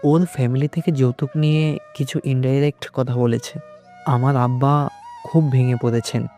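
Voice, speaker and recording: A man's voice: mid-range voice, fairly even intonation, normal speed, mellow, soft background music, lightly acted. A man playing a worried young man talking to his old friend in a romantic audio story. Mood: troubled. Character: worried young man, groom-seeking bride's brother, familiar old friend.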